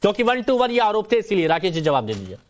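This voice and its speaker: A man's voice: sits high, sing-song, fast talker. A man hosting a television news debate, interjecting and appealing to panelists for order as he moderates.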